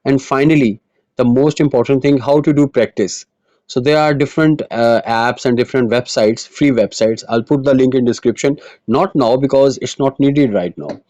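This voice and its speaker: A man's voice: medium pitch, normal speed, mellow, dry recording, muffled recording. A man who speaks Urdu as his first language, teaching IELTS writing in an earnest, explanatory way, with frequent fillers and restarts. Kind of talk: online lesson monologue.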